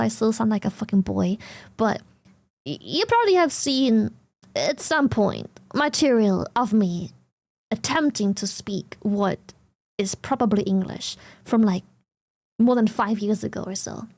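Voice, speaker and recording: A woman's voice: fairly high pitch, vocal fry, dry recording. A woman from Germany with a German accent, chatting casually on a live stream, laughing often and swearing bluntly.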